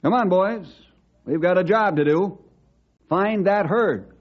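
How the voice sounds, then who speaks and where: An actor playing a calm, commanding ranger leader with a measured delivery in an old-time radio drama. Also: male voice, sits high. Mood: steady, resolute. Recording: dull, noisy old radio recording.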